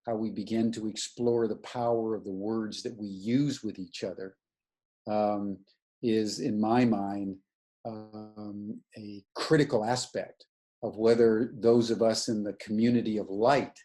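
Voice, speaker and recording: A man's voice: on the low side, steady tone, measured pace, dark tone. A man giving an earnest, searching spiritual lecture over a video call, often restarting his sentences. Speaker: webinar lecturer.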